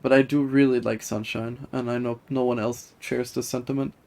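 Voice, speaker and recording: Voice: medium pitch, level tone, moderate pace, vocal fry, darkish tone. A streamer chatting casually over live gameplay, talking in hesitant, rambling asides. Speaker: video game streamer.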